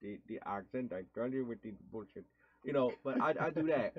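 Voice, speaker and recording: A man co-hosting a casual podcast, talking in a halting way and repeating his words. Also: mid-range voice, animated, breathy edge. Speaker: co-host. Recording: dull lo-fi sound.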